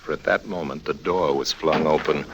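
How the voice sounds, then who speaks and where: A world-weary American rogue in an old radio crime drama. His voice is low and smooth-talking, with wry humour, on a vintage radio recording. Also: fairly even intonation, moderate pace, lo-fi sound, noisy background. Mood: wry storytelling. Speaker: lead actor and first-person narrator. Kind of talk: 1950s radio drama acting and narration.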